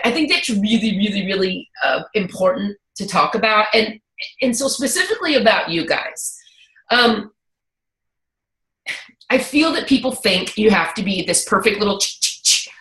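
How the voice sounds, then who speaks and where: Woman, host, casual chat, candid, animated asides, middle pitch, roomy echo. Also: moderate pace. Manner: candid, animated, talks through asides and quoted thoughts.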